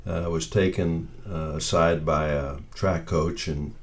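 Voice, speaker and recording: An American man of about seventy, casually reminiscing in a halting, meandering way as he searches for details. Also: rumbling low, fairly even intonation. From northeastern Ohio, United States. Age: around 70.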